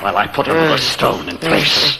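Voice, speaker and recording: Through a noisy old radio recording comes a murderer bent on revenge. His pitch swings widely as he lingers on his words with theatrical relish. He is courteous on the surface and cruel underneath, in classic horror-drama fashion. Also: animated, fairly quick. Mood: gloating menace. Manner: theatrical, savoring words, repeating for menace.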